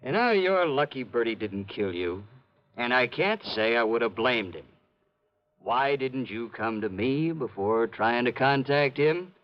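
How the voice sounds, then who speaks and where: Actor as gruff, animated police sergeant. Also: male voice, mid-range voice, a little slow, close to an everyday voice. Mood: brusque, scolding. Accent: American. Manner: brash, slangy, impatient, talks over the other man. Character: gruff, dismissive, know-it-all male police sergeant. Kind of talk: 1950s American radio drama acting. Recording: dull vintage radio recording.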